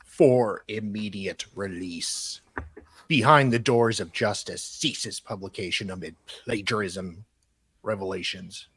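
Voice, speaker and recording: A man co-hosting a live show, reading documents aloud in a halting way with casual, joking asides. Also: fairly low pitch, a bit bright, slightly rough. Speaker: co-host.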